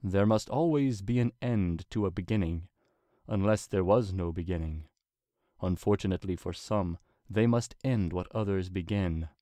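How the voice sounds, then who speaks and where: Casting: male reader as ancient divine stallion king for story drama; low, measured, formal, patient and reassuring. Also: warm tone, no room sound, clean studio sound, lightly acted. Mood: solemn. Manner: slow, deliberate, formal, reassuring. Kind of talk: dramatic fan fiction reading.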